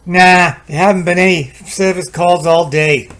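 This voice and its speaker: Man acting cocky radio-play repairman, high male voice, breezy, slangy, wisecracking storyteller. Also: fairly even intonation, unhurried, fairly bright tone. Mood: breezy, teasing. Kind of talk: old-time radio drama acting.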